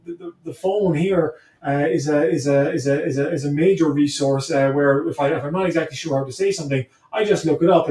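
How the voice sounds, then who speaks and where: A man's voice: fairly high pitch, a little mellow. A man who is a native English speaker, talking reflectively as an interview guest, hesitant and repeating words as he thinks. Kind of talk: reflective conversation. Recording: roomy echo, distant from the mic.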